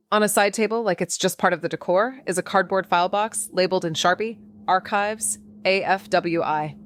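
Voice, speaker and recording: An actress playing a reckless amateur sleuth who narrates her own noir story in a wry, deadpan, atmospheric way. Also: on the low side, no room sound, close to an everyday voice. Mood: tense, wry. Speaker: actor narrating a podcast drama. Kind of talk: first-person noir narration and dialogue.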